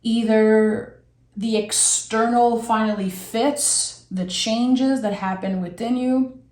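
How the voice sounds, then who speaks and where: A young woman with a raspy voice from being sick, giving a gentle, reflective tarot reading and thinking aloud as she draws cards. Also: a little high, fairly even intonation, slow, echoey room. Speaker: tarot reader. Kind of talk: soft, slow tarot reading.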